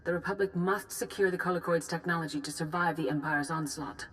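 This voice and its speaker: Casting: composed female Jedi leader, deep register, formal and grave, measured video game briefing delivery. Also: a bit bright, a little hiss or hum. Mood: grave, urgent. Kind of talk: scripted video game dialogue.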